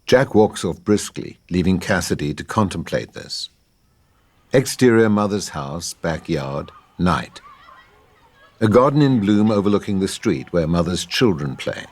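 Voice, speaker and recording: A man narrates a script's stage directions. His voice is very deep and husky, suited to audio drama narration. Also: expressive, a little slow.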